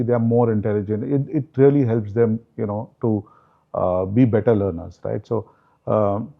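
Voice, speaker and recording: A man hosts the interview in a gravelly voice at a mid pitch. He talks loosely and often drops in "you know" and "right?". The sound is slightly muffled and lo-fi. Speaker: host, interviewer. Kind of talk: interview chat.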